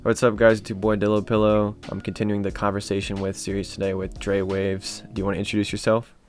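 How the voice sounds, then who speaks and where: A man's voice: on the low side, monotone, fast talker, over music. A man hosting a casual interview and asking questions in an upbeat way. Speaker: host.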